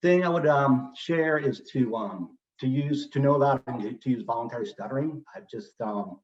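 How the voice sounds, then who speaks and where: A man's voice: medium pitch, normal speed. Guy talking casually about stuttering, lots of ums, far off in an echoey room, lo-fi. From Texas, US. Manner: casual, with fillers and occasional stutters. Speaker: presenter at a speech celebration. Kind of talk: personal talk about stuttering. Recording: roomy, distant, dull sound.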